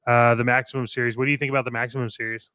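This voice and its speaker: A man's voice: medium pitch, flat delivery, rapid-fire, sharp tone, clear, resonant tone, dry recording. A man co-hosting a hobby show, chatting informally and stressing his points by repeating them. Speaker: co-host of a toy collecting show. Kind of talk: casual chat and opinion.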